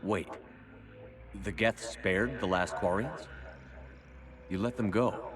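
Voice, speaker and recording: A man's voice: lowish voice, slow, music in the background. A man playing an inquisitive military commander in a video game, with a terse, questioning manner. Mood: curious, surprised. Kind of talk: video game character acting.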